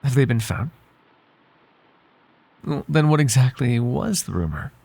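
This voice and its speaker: Male actor as devoted, courtly butler for ASMR roleplay; soft-spoken, husky, slightly gravelly, politely flirtatious, prone to hesitation. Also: medium pitch, animated, unhurried, exaggerated voice. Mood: uneasy curiosity. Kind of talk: ASMR roleplay voice acting, intimate one-sided dialogue.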